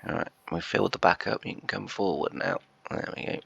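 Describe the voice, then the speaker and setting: Man, croaky and bunged up, very low voice, rambling let's-play chatter, dull lo-fi mic. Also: steady tone, brisk, a bit bright, no room sound. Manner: rambling, hesitant, full of "uh" and restarts. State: congested and croaky from a chest cold. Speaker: gameplay commentator. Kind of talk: casual let's-play commentary.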